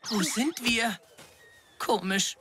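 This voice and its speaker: Boy adventurer, cartoon, youthful, sharp bright voice, exclaiming. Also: fairly high pitch, slow pace. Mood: puzzled.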